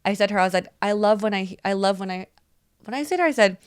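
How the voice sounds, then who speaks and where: A young American woman talks quickly and chattily, filling her sentences with "like" and slipping into little voices when she quotes someone. Her voice is dry and close to the mic, with no room around it. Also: mid-range voice, clean tone, no echo. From United States. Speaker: podcast co-host. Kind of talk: casual chat.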